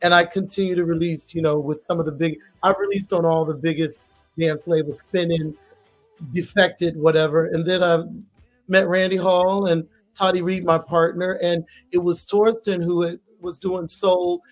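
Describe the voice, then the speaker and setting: A woman's voice: on the low side, flat delivery, normal speed, soft background music, poor audio. A woman singer, a guest in an interview, talking chattily about her career and laughing easily. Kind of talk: casual interview talk.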